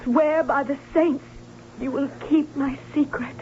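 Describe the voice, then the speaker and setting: A woman's voice: high-pitched, steady tone, a little slow. An actress playing a lovelorn, defiant young noblewoman in an old radio drama, solemn and resolute. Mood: solemn command. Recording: muffled, hissy old radio broadcast.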